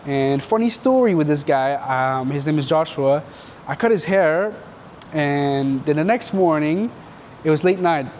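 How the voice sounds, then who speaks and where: Young man from Canada, loose and slangy storyteller, slightly high voice, faint background noise. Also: expressive, unhurried, a little mellow. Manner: rambling and slangy, full of filler words. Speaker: entrepreneur telling his story. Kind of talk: casual storytelling interview.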